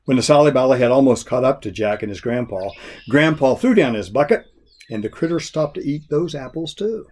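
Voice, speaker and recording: A man reading a children's folk tale expressively, in a folksy, deliberate storyteller's manner. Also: mid-range voice, animated, darkish tone. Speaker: story reader.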